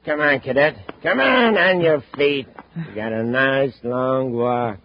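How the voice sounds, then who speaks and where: An actor playing a brutal, bossy space-thief gang leader in a 1950s radio drama, menacing and barking orders. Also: male voice, medium pitch, slow, slight background noise, natural voice. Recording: dull vintage 1950s radio recording.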